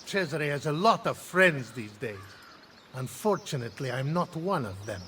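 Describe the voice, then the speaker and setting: An actor playing a talkative, debt-ridden senator in a video game, with an Italian accent and a theatrical, rambling, wisecracking delivery. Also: male voice, a little high, very animated, normal speed, fairly bright tone, a little hiss or hum. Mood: wry, excited.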